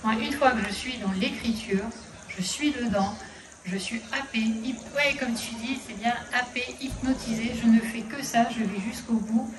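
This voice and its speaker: A woman who is a schoolteacher and novelist, talking in a lively, emphatic, flowing way. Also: on the high side, moderate pace. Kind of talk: festival interview. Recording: echoey room with noise.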